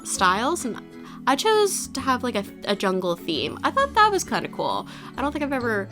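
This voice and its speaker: A woman's voice: mid-range voice, sharp tone. A young woman with a stuffy, nasal voice from allergies, chatting casually and rambling as she talks through her video. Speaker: video creator. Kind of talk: casual commentary over a building video. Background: background music.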